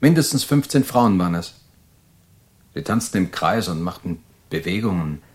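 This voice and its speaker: An actor playing an anxious, paranoid male mental patient confessing his story in a halting, brooding way in an audio drama. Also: sits low, animated, a little mellow. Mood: disturbed disbelief.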